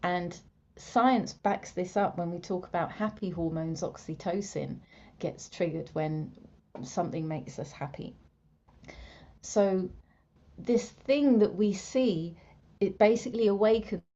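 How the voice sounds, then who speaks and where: Mellow, unhurried woman, soft reflective delivery, dull call audio. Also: fairly low pitch. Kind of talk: reflective talk on a video call. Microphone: video call. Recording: muffled video call audio.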